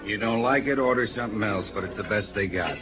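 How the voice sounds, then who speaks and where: An actor playing a gruff, weary middle-aged American police lieutenant, blunt and sardonic, in 1950s radio crime drama. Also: male voice, lowish voice, fast talker, natural voice. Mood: impatient. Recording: tinny, noisy old radio broadcast.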